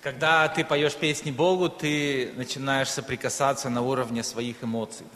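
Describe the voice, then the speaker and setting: A man's voice: medium pitch, steady tone, moderate pace, a bit bright. A man from Ukraine, a native Russian speaker, preaching in short phrases that leave room for an interpreter. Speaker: guest preacher. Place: church hall. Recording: echoey hall with long reverb.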